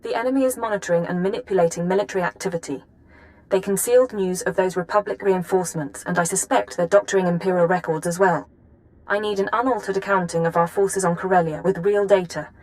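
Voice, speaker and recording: Actress as a composed, precise intelligence analyst. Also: female voice, lowish voice, fairly even intonation, quick pace, a little mellow, lightly acted, rough recording. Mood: composed, urgent. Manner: precise, businesslike. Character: composed, urgent intelligence analyst, loyal old colleague. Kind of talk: scripted video game acting.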